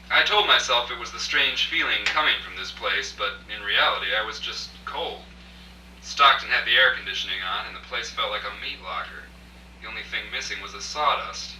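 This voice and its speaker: A man's voice: medium pitch, sharp tone. An actor playing a broke freelance journalist, telling his tale dryly in hard-boiled radio drama narration, recorded at a rehearsal. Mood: wary, wry. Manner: dry, wry storytelling. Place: echoey room at a dress rehearsal. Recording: echoey, distant, noisy old video rehearsal recording.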